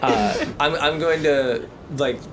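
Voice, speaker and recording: A man chatting casually as a player in a tabletop game, halting and rephrasing as he improvises. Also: on the high side, very noisy. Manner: halting, repeats and rephrases himself.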